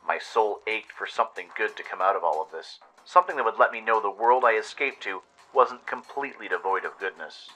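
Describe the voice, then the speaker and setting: Deep, very bright-voiced male story narrator. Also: brisk, few pauses, rough recording. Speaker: narrator and radio show host. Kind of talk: dramatic scripted audio story narration.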